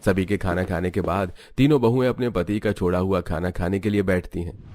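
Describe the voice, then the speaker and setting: A man's voice: deep voice, steady tone, fairly quick, darkish tone, no echo, studio quality. A man reading scripted narration for a family cartoon story.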